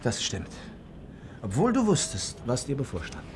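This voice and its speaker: A man's voice: mid-range voice, huge pitch swings, moderate pace, noisy background. A man acting in a radio play as a detective's curious, good-natured companion, speaking in a conversational, questioning way. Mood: relieved, amused. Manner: inquisitive, conversational.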